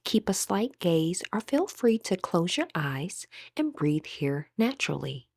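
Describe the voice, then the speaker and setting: A woman with a breathy voice gently leading a guided meditation as an instructor, in measured, scripted phrases. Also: on the low side, big pitch swings, dry recording, clean studio sound.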